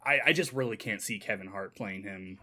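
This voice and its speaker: A man's voice: lowish voice, brisk, a loud crowd. A man co-hosting a podcast, chatting informally and explaining things as he goes. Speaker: podcast co-host. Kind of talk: casual chat.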